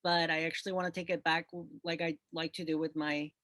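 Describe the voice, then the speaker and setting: A man's voice: on the high side, little pitch movement, fairly quick, sharp tone, no echo, studio quality. A man hosting an interview show, upbeat and chatty, laughing easily with his guest. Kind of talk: casual interview chat.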